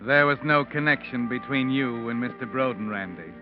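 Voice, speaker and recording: An actor playing an ominous, taunting mystery narrator in a 1940s radio drama, speaking in a knowing, deliberate way. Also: male voice, medium pitch, lightly acted. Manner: knowing, deliberate, sinister storytelling. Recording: muffled vintage radio recording with music.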